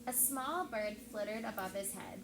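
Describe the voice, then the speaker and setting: A woman's voice: medium pitch, normal speed, music in the background. A woman teacher reading aloud expressively to a class and prompting pupils with questions. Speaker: elementary school teacher. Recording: echoey room with clear background noise.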